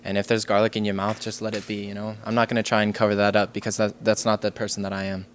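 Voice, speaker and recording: A man answering casually in a deadpan, tongue-in-cheek, hesitant manner. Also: fairly low pitch, fast talker, clear, resonant tone. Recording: faint background room noise.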